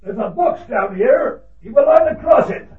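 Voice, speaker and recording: A man's voice: very high-pitched, expressive, moderate pace. A man playing a respectful police constable, calling out with effort in an old-time radio drama. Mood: straining, urgent. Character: deferential, hard-working male county police constable. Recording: dull, echoey, distant vintage radio recording.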